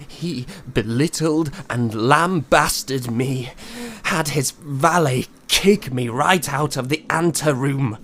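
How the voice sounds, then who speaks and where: A man playing an unwell, proud young composer in a period audio drama, shaken and speaking in formal, halting, old-fashioned phrases. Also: medium pitch, slight background noise, close to an everyday voice. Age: young adult. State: weak, shaken, coughing. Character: unwell, wounded, proud young male composer, courteous and agitated.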